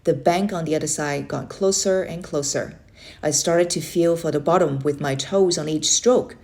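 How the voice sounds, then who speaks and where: Female storybook reader, slightly low pitch, expressive read-aloud delivery for children. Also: normal speed.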